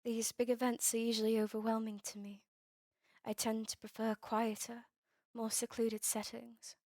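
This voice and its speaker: A woman playing a guarded vampire heir in a scripted ASMR roleplay, measured, formal and cool. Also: a little high, level tone, mellow, dry recording, natural voice. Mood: polite, reserved.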